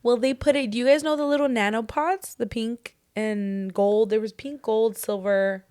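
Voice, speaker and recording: A young woman co-hosting a podcast in casual chat, talking in a hoarse voice that is still recovering, full of "like" and "dude.". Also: on the high side, steady tone, moderate pace, a little mellow. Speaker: podcast co-host.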